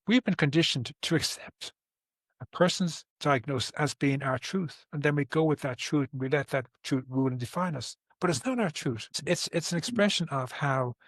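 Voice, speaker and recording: A man's voice: on the high side, dry recording. A man in his sixties with an Irish accent, a therapist explaining his approach in conversation, earnest and often restarting his words. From Ireland. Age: 60s. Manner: earnest, repeats and restarts words, gives everyday examples.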